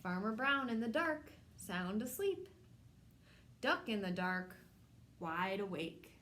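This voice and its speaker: A woman hosting a children's storytime, reading a picture book aloud in simple, measured phrases addressed to young children. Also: mid-range voice, big pitch swings, bright, forceful tone, slight background noise. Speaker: children's storytime host at a public library.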